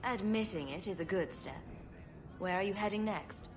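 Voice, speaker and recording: A woman's voice: medium pitch, moderate pace, background noise, close to an everyday voice. A woman playing a sarcastic, teasing and confident rogue adventurer in a video game cutscene, glib in her delivery. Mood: firm.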